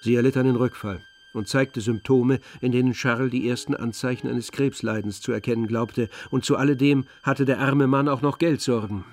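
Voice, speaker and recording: A man giving scripted literary narration in a radio drama, in a measured, composed manner. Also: mid-range voice, fairly even intonation, faint music behind.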